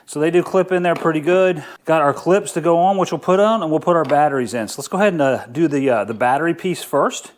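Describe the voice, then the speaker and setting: A man's voice: fairly high pitch, fast, few pauses. A man talking casually through a product unboxing and review, informal and easygoing, thinking aloud as he goes.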